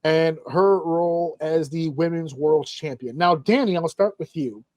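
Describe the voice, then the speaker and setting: A man's voice: a little high, measured pace, darkish tone, no room sound. A man hosting a casual wrestling panel chat, joking crudely with his guests. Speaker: podcast host.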